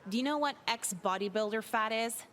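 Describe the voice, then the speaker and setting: Woman playing a jaded, high-voiced sarcastic teen girl. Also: a little fast, slight background noise, close to an everyday voice. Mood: weary, wry. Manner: deadpan, caustic, foul-mouthed. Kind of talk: visual novel game voice acting.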